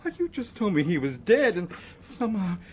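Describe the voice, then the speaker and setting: A man's voice: sits high, huge pitch swings, brisk, breathy edge, full character voice. An actor in a radio drama playing an indignant, frightened man who defends himself emphatically under police questioning.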